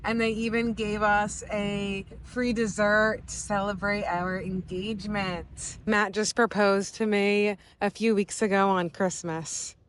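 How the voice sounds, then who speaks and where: A woman's voice: mid-range voice, level tone, unhurried, few pauses, a little hiss or hum. A young woman from the US East Coast, narrating a casual travel vlog in a chatty, upbeat way with lots of "like". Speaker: vlogger. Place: inside a car on a road trip.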